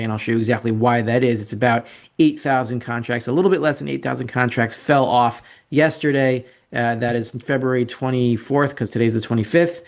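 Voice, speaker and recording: A man hosting an informal financial commentary over slides, opinionated and sardonic, often repeating phrases for emphasis. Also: medium pitch. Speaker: financial commentator and show host.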